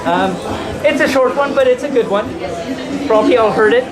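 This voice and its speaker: A storyteller reading a bedtime tale to a live audience informally, haltingly, often repeating and correcting phrases. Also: mid-range voice, music in the background, low-quality recording. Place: large indoor hotel room at a convention. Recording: loud crowd in a large public room.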